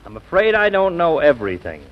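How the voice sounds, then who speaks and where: An actor playing a skeptical, reproachful lawyer friend in a 1940s radio comedy, with a wry, pointed delivery. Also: male voice, a little high, big pitch swings, fairly quick. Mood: suspicious. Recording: dull old radio broadcast recording.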